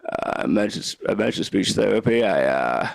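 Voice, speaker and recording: A young man doing live stand-up with a stutter, talking slowly and haltingly with deadpan one-liners. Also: lowish voice, vocal fry, no room sound. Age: young adult. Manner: slow, halting delivery with restarts. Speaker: stand-up comedian. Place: comedy club stage.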